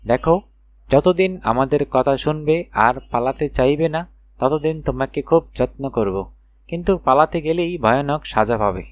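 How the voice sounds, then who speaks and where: A man's voice: on the high side, animated, moderate pace, no echo, close to an everyday voice. A man voicing a stern, scolding old witch woman in a storybook tale, full of warnings. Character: stern, threatening old witch woman guarding her magic garden. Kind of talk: storybook character voice.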